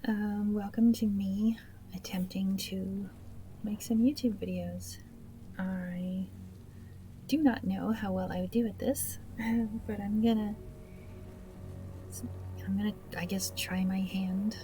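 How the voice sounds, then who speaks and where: A woman's voice: medium pitch, steady tone, slow pace, pauses often, dark tone, a little hiss or hum. A woman hosting a gaming video with casual, awkward, self-deprecating commentary, speaking hesitantly. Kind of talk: casual let's play commentary.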